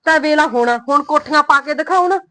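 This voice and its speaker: A woman's voice: sits high, fast. A woman playing a resentful young wife who nags her husband and schemes over family land and money. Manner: nagging, sharp-tongued. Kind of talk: Punjabi family drama acting.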